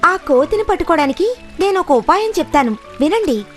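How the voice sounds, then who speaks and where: Very high-pitched, demanding crocodile wife. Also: female voice, sing-song, a little fast, faint music behind. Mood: scheming. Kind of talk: children's cartoon voice acting.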